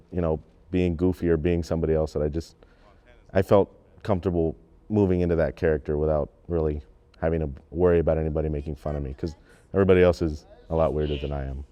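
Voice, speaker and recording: Very deep-voiced young man, mellow. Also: fairly even intonation, fast, muffled recording. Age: young adult. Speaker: student actor. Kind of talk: casual interview.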